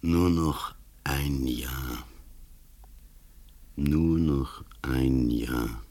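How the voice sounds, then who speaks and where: An actor playing an old, ailing police commissioner in a radio play, grave, accusing and relentless. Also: male voice, a bass voice, very slow, dark tone, exaggerated voice. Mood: exhausted. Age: older.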